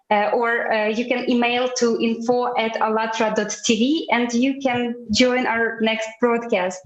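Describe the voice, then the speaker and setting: Female co-host, slightly high, hesitant friendly delivery, echoey room. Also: muffled recording. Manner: friendly, hesitant with many fillers. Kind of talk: live broadcast hosting.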